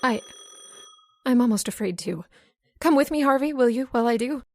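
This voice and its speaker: A woman's voice: fairly high pitch, moderate pace, darkish tone, close to an everyday voice. A woman playing a frightened, fraught heroine of an old-time radio horror play, hesitant and melodramatic. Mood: fearful.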